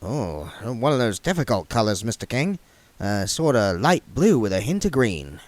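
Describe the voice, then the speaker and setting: A man's voice: fairly low pitch, very animated, moderate pace, dry recording. A man voicing a bold but polite young male clerk who speaks matter-of-factly.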